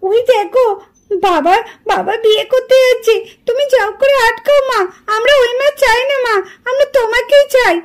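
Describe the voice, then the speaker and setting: Little girl, very high squeaky pitch, whiny, pleading, doll cartoon. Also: normal speed. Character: small pleading daughters calling for their mother. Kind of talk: puppet doll cartoon dubbing.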